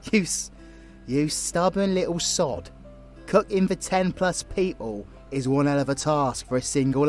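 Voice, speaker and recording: Man acting a cheeky British villain, very animated. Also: a little high. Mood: teasing, fond. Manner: slangy, teasing, affectionate, swears casually. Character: cheeky, flirtatious, protective British male super villain, caring partner. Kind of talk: comfort audio roleplay, intimate and teasing.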